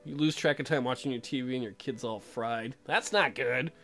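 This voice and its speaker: A man's voice: medium pitch, moderate pace, vocal fry, fairly bright tone, soft background music. A man hosting a let's play, reading game text aloud and adding joking, irreverent commentary.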